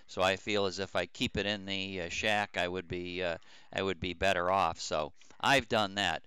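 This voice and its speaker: An older man with a low, very clear voice talks as a ham radio operator. His delivery is halting and explanatory, circling back over his words. The sound is crisp and close, with hardly any room. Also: fairly even intonation, bright, forceful tone, no room sound. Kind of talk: unscripted ham radio chat.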